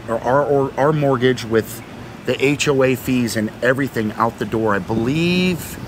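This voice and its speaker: A middle-aged man co-hosting a vlog, talking casually at a marina dock, repeating words and using many fillers. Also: medium pitch. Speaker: vlog co-host. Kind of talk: casual explanatory chat. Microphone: fixed camera at a few meters. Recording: outdoor noise behind.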